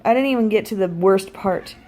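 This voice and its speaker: A woman's voice: mid-range voice, fairly quick. Thirty-something woman chatting candidly in vlog style. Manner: animated, wry, self-deprecating, swears freely. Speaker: vlogger. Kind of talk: casual storytelling chat to viewers.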